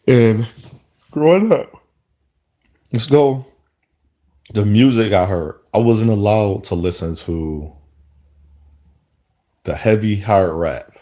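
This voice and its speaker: A man's voice: lowish voice, slow pace, pauses often, dark tone. A man in his mid-thirties talking to camera in a rambling, reflective monologue, often restarting his sentences. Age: 30s. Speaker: vlogger. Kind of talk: casual spoken monologue.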